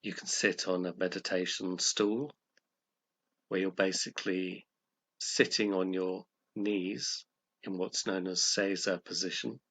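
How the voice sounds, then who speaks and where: A man with a fairly low voice leads a guided meditation. He gives gentle, calm instructions in short measured phrases, pausing between them.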